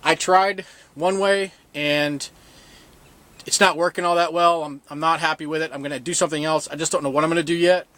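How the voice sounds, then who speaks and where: A man with a voice a little higher than average talks like a hands-on vlog host. His delivery is unscripted and informal, with a plain-spoken, self-deprecating manner. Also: fairly even intonation, fairly quick, a bit bright. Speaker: video host, DIY boat owner. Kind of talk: casual, unscripted show-and-tell vlog.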